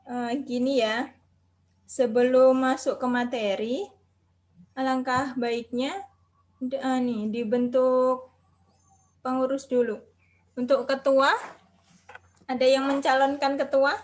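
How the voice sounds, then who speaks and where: Woman course instructor, high voice, brisk roll call on a video call. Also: little pitch movement, darkish tone. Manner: brisk, calls names one by one. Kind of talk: online class roll call.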